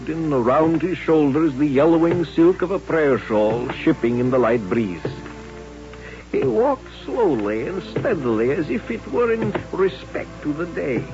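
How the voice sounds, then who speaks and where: An actor playing a folksy western storyteller, narrating a radio drama in a measured, vivid, dramatic way. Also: male voice, on the high side, darkish tone. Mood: grave, narrative. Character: folksy western storyteller recalling frontier showdowns. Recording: muffled old radio recording.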